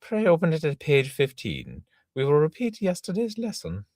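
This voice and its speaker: A man voicing a prim, stern older female governess in a high fluttery falsetto, reading a play aloud. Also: huge pitch swings, moderate pace, a little mellow, no room sound, clean studio sound. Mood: prim scolding.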